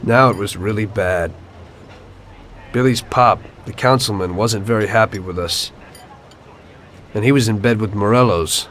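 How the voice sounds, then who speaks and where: A man's voice: on the low side, measured pace, pauses often, fairly bright tone, a little hiss or hum. An actor playing a world-weary gangster in a video game, speaking of his life of crime in a reflective, matter-of-fact way. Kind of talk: video game cutscene storytelling.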